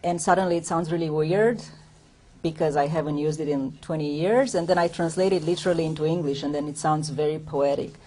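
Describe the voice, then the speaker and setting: Low-voiced woman poet. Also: mellow. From Romania. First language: Romanian. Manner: unhurried, reflective, explains between poems with hesitant asides. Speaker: poet reading her own work. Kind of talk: poetry reading with spoken commentary.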